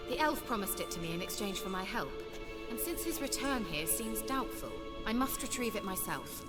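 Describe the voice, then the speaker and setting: A woman playing a self-assured, learned young sorceress in a fantasy video game, speaking in a measured, articulate, slightly arch way. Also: mid-range voice, animated, natural voice. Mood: intent, measured. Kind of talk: dramatic video game voice acting. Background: music.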